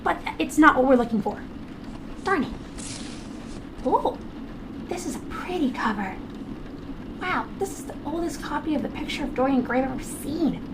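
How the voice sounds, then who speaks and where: A woman plays an eager teenage girl with a high voice, set against clear background noise. The character is chatty, earnest and quick to be fascinated, in the natural dialogue style of an audio drama. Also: lively intonation. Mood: eager, curious. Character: bright, curious, eager teenage girl researcher.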